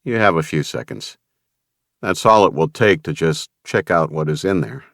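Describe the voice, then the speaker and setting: Man voicing composed deal-maker, deep, understated, dry close recording, audiobook. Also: normal speed, no echo. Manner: even, understated. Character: calm, capable man offering a deal.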